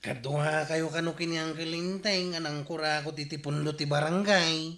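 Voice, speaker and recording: An actor as a concerned village man bringing news in a comedy radio drama. His voice sits a bit high and has an Ilocano Filipino accent. There is clear room echo around it. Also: steady tone, moderate pace, a bit bright, close to an everyday voice. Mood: reporting.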